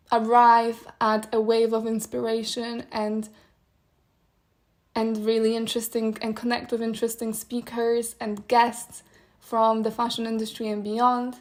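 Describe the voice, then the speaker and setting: Thoughtful woman, Polish native, even-toned. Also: a little high, takes it slowly, pauses often. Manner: thoughtful, meandering, with soft laughs. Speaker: podcast host. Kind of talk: solo reflective podcast monologue.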